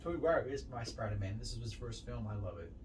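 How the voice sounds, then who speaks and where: A man's voice: mid-range voice, expressive, fast talker, darkish tone. A man chatting informally as a podcast co-host, giving film opinions in short, emphatic phrases. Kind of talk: casual film ranking chat. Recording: distant mic with faint background noise.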